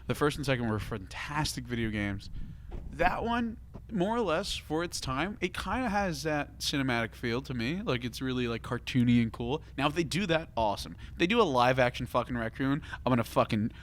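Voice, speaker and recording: A man with a mid-pitched voice talks casually and with strong opinions, like a podcast host among friends. He is animated and swears freely. There is clear noise behind him. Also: brisk. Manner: animated, sweary, acts out imagined reactions.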